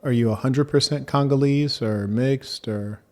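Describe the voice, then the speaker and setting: A man hosting a debate, speaking in a measured, formal manner and asking probing questions. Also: medium pitch. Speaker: debate host.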